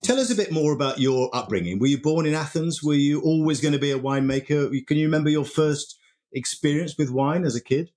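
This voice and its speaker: A man's voice: fairly high pitch, fairly quick, darkish tone. A man hosting a podcast interview, asking chatty questions and answering with short affirmations. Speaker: podcast host. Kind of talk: casual interview.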